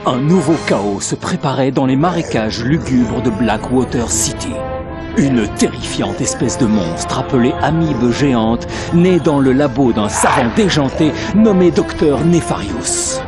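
A man's voice: medium pitch, lively intonation, measured pace. Grandiose man narrating a comic book. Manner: mock-heroic, grandiose. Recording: game audio with loud music behind.

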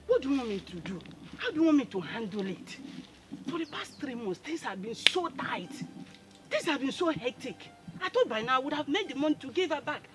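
A woman's voice: high-pitched, very animated, a little fast. Brazen Nigerian woman debtor with wildly swooping delivery. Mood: fretful. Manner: taunting, exclamatory, repeats questions. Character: brazen, quarrelsome woman debtor, scornful and excitable. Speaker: actor in a film drama. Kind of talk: dramatic film dialogue. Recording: background music over film dialogue.

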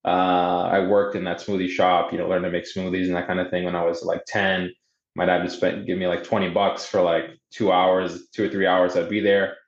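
A man's voice: deep voice, monotone, moderate pace. A man in his thirties from Boston, a native Portuguese speaker, telling his story conversationally in a hesitant, word-repeating way over a video call. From Boston, USA, with teenage years in Brazil. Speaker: podcast guest. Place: indoor room on a video call. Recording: muffled, echoey video call.

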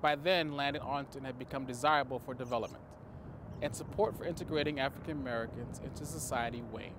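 A man in his thirties reading a scripted history presentation to camera, measured and formal, recorded on a lapel mic outdoors in a cemetery. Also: medium pitch, fairly even intonation, background noise.

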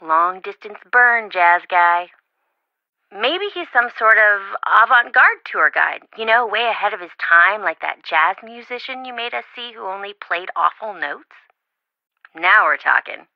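An actress voicing a chatty young woman friend in a video game, talking on the phone, excitable, jokey and often worried. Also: medium pitch, measured pace, dry recording, lo-fi sound. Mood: teasing.

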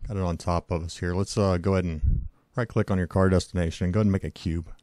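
A hoarse man with a cold, casually walking through a software tutorial with lots of fillers and self-corrections. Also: low voice, fairly even intonation, quick pace, dry recording, clean studio sound. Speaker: tutorial host. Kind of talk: screen-recorded software tutorial.

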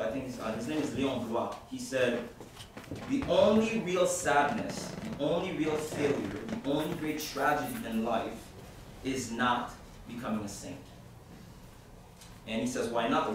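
A man from Haiti, a youth minister, praying and then telling a story to a group in a halting, informal way with many fillers. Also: mid-range voice. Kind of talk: opening prayer and talk to a group. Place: hall at a university centre. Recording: echoey room from far off, background noise.

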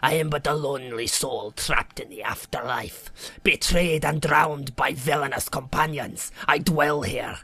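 Video game ghost, played by a man: elderly drowned fisherman, lonely, vengeful, breathy whisper, slow and grave delivery. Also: medium pitch, bright, forceful tone, no echo. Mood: mournful.

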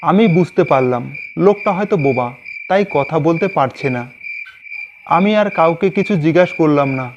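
A man's voice: fairly high pitch, animated, moderate pace, dull audio, noisy background. A man narrating a scripted ghost story in the first person. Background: background music.

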